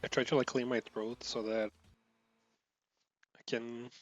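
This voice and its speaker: A man from Brazil commenting casually while playing a racing game, rambling, running words together, swearing, with loud outbursts at his mistakes. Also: mid-range voice, flat delivery, measured pace, muffled recording. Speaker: gameplay streamer.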